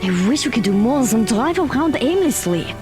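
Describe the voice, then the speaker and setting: A woman's voice: on the high side, big pitch swings, moderate pace. A woman playing an informal, easily needled teenage girl in an audio drama, casual and a bit defensive. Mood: apologetic, downcast. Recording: music behind the voice.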